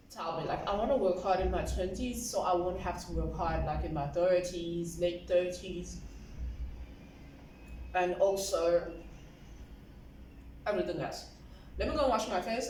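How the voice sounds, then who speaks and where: A woman's voice: mid-range voice, darkish tone, a little hiss or hum. A woman, talking through her self-care routine in a casual, rambling vlog, filling her speech with "like". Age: 20s. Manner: chatty, rambling, fills with "like", laughs often. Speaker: vlogger. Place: indoor room at home. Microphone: camera on a stand. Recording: roomy, slightly distant mic with faint ambience.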